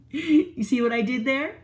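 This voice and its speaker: A woman reading a picture book aloud to young children, animated and asking them questions. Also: a little high. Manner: animated, asks the listener questions. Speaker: children's storyteller. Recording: roomy echo.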